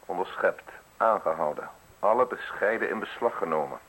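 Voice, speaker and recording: An actor in a radio play playing a polite, insinuating state official with a formal, measured way of speaking. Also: male voice, low voice, a bit bright, slightly rough. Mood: formal, grave. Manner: formal, measured, courteous, deliberate phrasing. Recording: hissy, noisy old radio recording.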